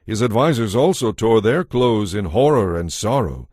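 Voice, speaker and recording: A man's voice: fairly low pitch, lively intonation, moderate pace, a little mellow, no echo, clean studio sound. A man giving a solemn, scripted dramatic narration of scripture as storyteller.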